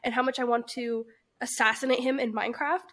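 A woman's voice: fairly high pitch, steady tone, brisk, fairly bright tone. A young woman co-hosting a book podcast while sick and congested, giving blunt, emphatic opinions. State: congested with a cold. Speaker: podcast co-host. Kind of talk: casual book review chat.

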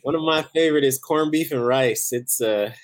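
A man from Barbados, chatting casually as a chef guest in a relaxed, rambling way. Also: medium pitch, normal speed. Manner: relaxed, rambling, many "you know" fillers. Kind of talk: casual interview chat.

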